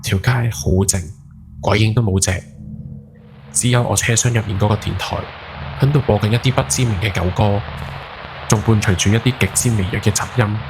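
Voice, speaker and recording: A man's voice: fairly low pitch, fairly even intonation, fairly quick, background noise, lightly acted. A man playing a guilt-ridden night taxi driver in his forties, telling a horror story in Hong Kong Cantonese with brooding, dramatic emphasis. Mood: weary, brooding. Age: 40s. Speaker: ghost story podcast narrator. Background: background music.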